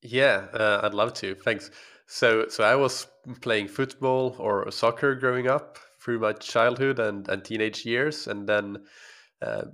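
Hesitant low-voiced man from Finland. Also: unhurried, a bit bright. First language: Swedish. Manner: hesitant, repeats words as he starts sentences. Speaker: podcast guest, triathlon coach. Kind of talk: podcast interview. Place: his home apartment. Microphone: home podcast microphone.

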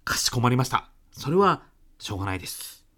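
A woman's voice: very deep voice, very animated, fairly quick, fairly bright tone, exaggerated voice. A woman playing a polite, deferential young salaryman son-in-law in a comic radio drama, with a hesitant businesslike manner. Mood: businesslike, courteous.

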